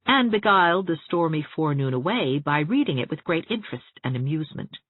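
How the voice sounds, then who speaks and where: A woman's voice: deep voice, lively intonation, fairly quick, dry recording, phone-quality sound. A woman narrating an audiobook story expressively from a script.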